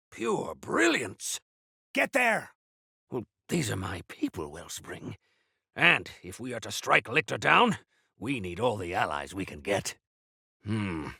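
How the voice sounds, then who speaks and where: A zealous exiled rebel for a video game, swinging wildly in pitch with a forceful, piercing edge. He is grandiose and eccentric, drawing out his florid, old-fashioned phrases at an unhurried pace. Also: vocal fry, slightly rough, no room sound, clean studio sound, full character voice. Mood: rousing, triumphant. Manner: bombastic, archaic florid phrasing. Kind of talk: theatrical video game character lines.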